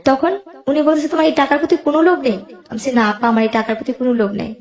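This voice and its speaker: A woman's voice: high-pitched, racing, roomy sound. A woman with a Bangladeshi accent tells her own life story as a guest, emotionally, quoting both sides of conversations as she goes.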